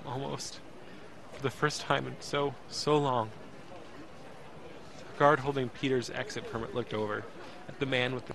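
An actor playing an anxious, emotional young man who narrates his ordeal in an audio drama, intense and halting. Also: medium pitch, vocal fry. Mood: resigned, tense. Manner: intense, halting, repeats words.

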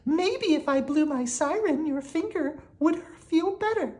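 A woman's voice: sits high, animated, a little slow, a little mellow, pitched above the performer's own voice. A woman voicing a helpful lady doctor turned firefighter in a children's storybook. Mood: helpful, polite.

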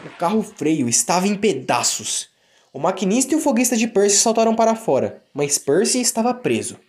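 Male narrator, Brazilian accent, for a children's story, highly animated over music. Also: brisk.

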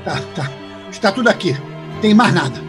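An actor playing an angry, foul-mouthed middle-aged father in an audio drama, gruff and confrontational. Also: high-pitched, animated, normal speed, over music. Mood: cowed.

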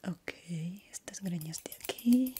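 A young woman whispering softly and breathily in a slow, soothing ASMR roleplay as a barber. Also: medium pitch, animated, a little hiss or hum. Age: young adult.

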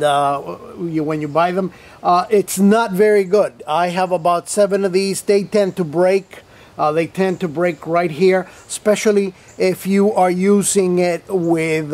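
A man with a fairly high voice walks through an airbrush demo at an unhurried, drawn-out pace. He rambles, piling up fillers and restarts, and drops in playful asides. He is recorded at a tabletop in an indoor studio. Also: a little mellow. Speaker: host of an airbrush tutorial show. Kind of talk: casual product demonstration.

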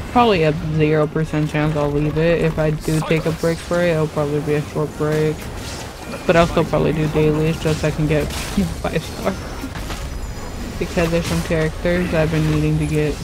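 A young woman chatting casually as she livestreams a game, in a halting, rambling way. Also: low voice, little pitch movement, rough recording. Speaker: livestreaming gamer. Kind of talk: casual chat while playing a game. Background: video game music and combat sound effects.

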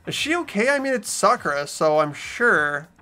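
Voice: medium pitch, big pitch swings, normal speed, sharp tone. A performer playing an earnest, easily startled teenage schoolboy who narrates and speaks in a visual novel dub. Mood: worried. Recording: background game music.

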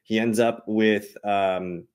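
A man's voice: lowish voice, steady tone, takes it slowly, a little mellow, clear, resonant tone. A man in his thirties hosting a sports show, casually analyzing and reading out stats in a matter-of-fact, conversational way. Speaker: fantasy football show host.

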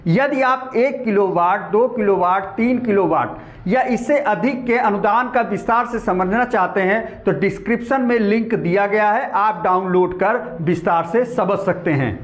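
A man's voice: high voice, normal speed. A middle-aged man with an Indian accent presenting a scripted explainer in a formal, methodical way. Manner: formal, methodical, enumerating points. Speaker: presenter of an informational channel. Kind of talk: scripted explainer addressed to viewers.

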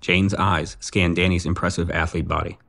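Male narrator, very low register, deadpan reading of scene directions. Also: moderate pace, gravelly. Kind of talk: scripted narration of stage directions.

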